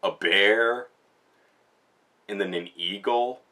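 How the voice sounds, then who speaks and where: A man's voice: lowish voice, takes it slowly, sharp tone. A man giving a casual spoken review, retelling a story in informal, slangy language. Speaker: manga reviewer, host. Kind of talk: casual spoken book review with plot recap.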